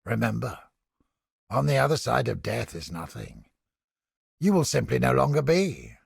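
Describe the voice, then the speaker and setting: A man playing a cynical, sardonic, smugly godless inquisitor scholar in a video game, with a gravelly, husky voice and deliberate, formal, theatrical delivery. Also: medium pitch, sing-song, no echo, studio quality, heavily acted. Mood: sardonic, disdainful. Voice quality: gravelly, husky, breathy edge.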